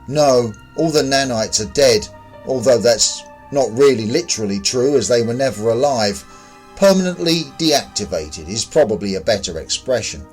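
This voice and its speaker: A bland, detached alien visitor read by a man explains things in a formal, literal way. The voice is mid-pitched and belongs to a science fiction story, with faint music behind. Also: expressive, normal speed, close to an everyday voice, poor audio. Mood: literal, precise.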